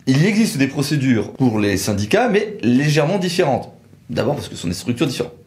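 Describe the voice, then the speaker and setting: Male lawyer, precise technical explainer, medium pitch, noticeably roomy recording. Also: big pitch swings, fairly quick, a little mellow. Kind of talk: technical legal explainer discussion. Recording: roomy, echoing sound.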